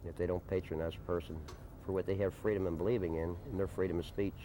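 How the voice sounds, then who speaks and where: An American man answering interview questions in a deliberate, plain-spoken way with folksy turns of phrase. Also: sits low, fairly even intonation, dark tone. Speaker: interviewee, desert camp resident. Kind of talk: unscripted documentary interview answers. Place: informal desert camp on location. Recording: noisy early 1990s field video recording.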